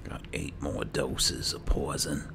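A man with an extremely low, very gravelly voice gives slow, in-character gameplay commentary. He murmurs to himself, often dropping to a half-whisper. Loud game ambience and noise sit behind him. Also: level tone. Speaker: gaming video host playing a roleplay character.

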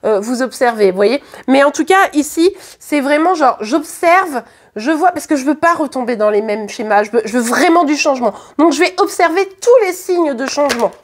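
A hoarse woman with a French accent, doing a casual tarot reading to camera in a chatty, hesitant way with many fillers and restarts. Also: sits high, animated, moderate pace. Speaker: tarot card reader. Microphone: camera at the reading table.

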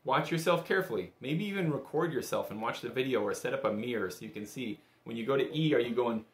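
A man's voice: mid-range voice, fast, background noise. Easygoing male music instructor, echoey room. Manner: encouraging, easygoing, conversational. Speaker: bagpipe instructor. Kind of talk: casual instructional lesson. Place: small indoor room, seated at a table. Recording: roomy indoor echo.

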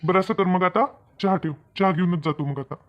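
An easygoing village husband from an animated comedy, voiced by a man. He talks in a homely, questioning way with a rural Maharashtrian Marathi accent. The audio is muffled and lo-fi. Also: high voice, brisk, lightly acted. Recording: dull, lo-fi audio.

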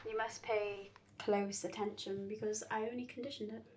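A woman's voice: medium pitch, fairly even intonation, normal speed, a little mellow, a little hiss or hum, natural voice. A woman playing an easygoing, politely evasive busy woman in a naturalistic drama, with a light, conversational delivery. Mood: playful, teasing. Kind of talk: naturalistic drama phone dialogue.

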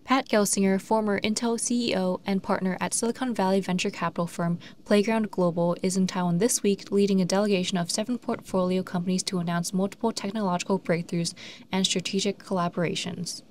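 A woman with a medium-pitched voice narrates a scripted news report. Her reading is measured and formal, over faint background noise. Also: steady tone, darkish tone.

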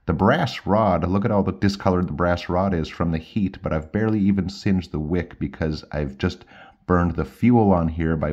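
A man's voice: low-pitched, darkish tone. A middle-aged man casually demonstrating and reviewing outdoor gear, recorded indoors at a tabletop with a slightly dull sound.

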